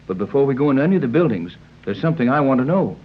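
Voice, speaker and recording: Animated yacht captain, old radio recording. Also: male voice, mid-range voice, racing, slightly rough. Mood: curious, impressed. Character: Captain Tex Bradford, confident adventurous yacht captain. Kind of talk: 1930s radio serial acting. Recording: dull, lo-fi 1930s radio recording.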